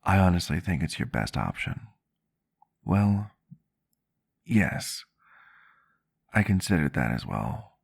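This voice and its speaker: Gentle vampire doctor, male, played by a man, intimate ASMR roleplay. Low register with fry, reflective, formal phrasing. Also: full character voice. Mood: gentle, reassuring. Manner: measured, reflective, formal wording. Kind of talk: ASMR roleplay, soft intimate storytelling to the listener.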